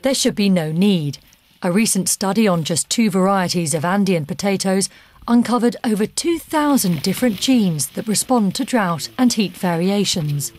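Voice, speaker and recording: A woman's voice: medium pitch, animated, moderate pace, a little mellow. A woman reading scripted documentary narration as the narrator of the film. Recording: music under the narration. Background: background music.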